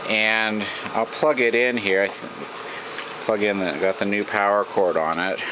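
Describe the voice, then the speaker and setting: An American man giving an informal hands-on demonstration, thinking aloud in a halting way at an indoor workbench. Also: fairly low pitch. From United States. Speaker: radio repair hobbyist. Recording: noisy room, steady background hum.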